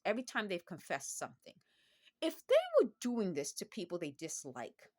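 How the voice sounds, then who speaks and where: Earnest woman in her fifties, low voice. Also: fairly bright tone. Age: 50s. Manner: earnest, insistent and repetitive. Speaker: vlogger. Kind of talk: personal monologue to camera.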